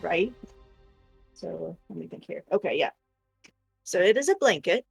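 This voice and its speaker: A woman giving a casual show-and-tell of her crafts on a livestream, explaining in a halting, self-interrupting way. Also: fairly low pitch, lively intonation, gravelly, slightly rough, soft background music. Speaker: crafter showing crochet projects on a livestream.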